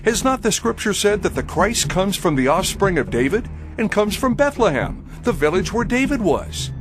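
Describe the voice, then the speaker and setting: A skeptical townsman in a biblical audio drama. His high voice swings widely as he argues with scornful disbelief, with clear music behind him. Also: very animated, moderate pace. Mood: disputing. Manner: incredulous, disputing. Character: skeptical, scornful man of the Jerusalem crowd. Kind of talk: audio drama scripture acting.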